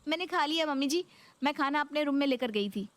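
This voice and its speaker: A young Indian daughter-in-law from a cartoon story, acted by a woman. She is respectful but fed up, explaining herself in a fairly high voice. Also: lively intonation, quick pace, dry recording. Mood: polite. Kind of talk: Hindi cartoon story voice acting.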